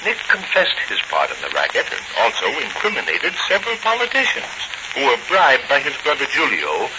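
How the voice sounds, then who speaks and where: An actor playing a hero's avuncular, admiring confidant in a 1940s radio drama. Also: male voice, medium pitch, huge pitch swings, fairly quick. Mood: admiring, curious. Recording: dull, very noisy 1940 radio recording.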